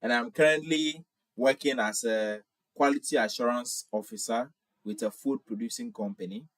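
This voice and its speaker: A man's voice: medium pitch, normal speed, a bit bright, no room sound. A man in his twenties from Ghana with a Ghanaian accent, hosting a casual livestream, reading viewer comments aloud and answering them in a friendly way, often repeating himself. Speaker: livestream host.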